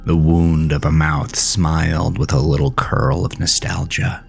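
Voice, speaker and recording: A man tells a scripted horror story in the first person. His voice is low and unhurried, with a measured, dramatic delivery, and music plays behind him. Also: fairly even intonation, gravelly.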